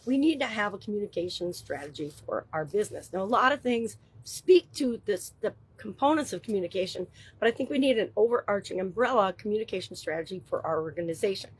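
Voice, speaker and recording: A middle-aged woman with a hoarse, gravelly voice, casually giving a daily business tip as host, chatty with filler words. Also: medium pitch, rough recording. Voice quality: husky. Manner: upbeat, chatty, thinks aloud with fillers. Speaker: business coach and show host. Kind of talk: daily business tips monologue.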